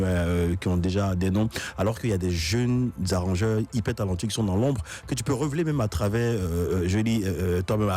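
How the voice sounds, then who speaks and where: A man's voice: lowish voice, a little mellow. A man hosting a radio show, joking and asking questions with many fillers. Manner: fast, joking, lots of fillers. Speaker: radio host. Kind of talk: casual radio interview.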